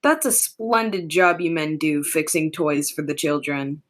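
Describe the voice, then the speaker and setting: Young woman playing a slow, courteous, staid older gentleman. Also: low-pitched. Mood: polite, mild. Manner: measured, polite. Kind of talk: high school radio play acting.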